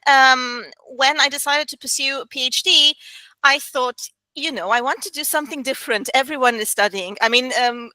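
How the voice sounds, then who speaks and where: A woman's voice: a little high, bright, forceful tone, no echo, low-quality recording. A woman in her thirties from Romania with a Romanian accent, answering interview questions as a historian, thoughtful with many fillers. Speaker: guest historian.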